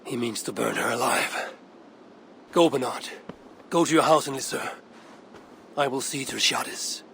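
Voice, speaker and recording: A man's voice: mid-range voice, moderate pace, creaky, fairly bright tone, a little hiss or hum. A man playing a stern, steadfast Viking warrior in a dramatic video game, blunt and restrained. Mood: urgent, stern.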